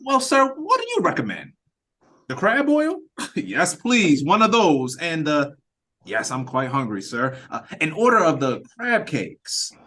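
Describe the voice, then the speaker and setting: Audio play customer, male actor: cheeky and talkative, very lively intonation, polite to the point of mockery. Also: fairly high pitch, a little slow, full character voice. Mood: chatty, polite. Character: chatty, cheeky, hungry male restaurant customer. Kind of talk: audio drama read-through. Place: remote reading over a video call. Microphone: video call.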